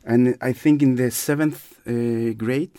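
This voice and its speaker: Man, thoughtful and unhurried, dry close-miked read. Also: mid-range voice, fairly even intonation, mellow, no echo. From Chile. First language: Spanish. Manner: thoughtful, searching for words in a second language. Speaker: guest. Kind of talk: casual conversational interview.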